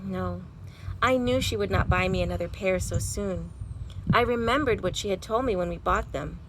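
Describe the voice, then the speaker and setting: A woman reading a children's storybook aloud in an expressive, animated way with dramatic pauses. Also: mid-range voice. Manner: animated, dramatic pauses, chanting sound words. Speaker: librarian reading picture books at storytime. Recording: noisy home recording.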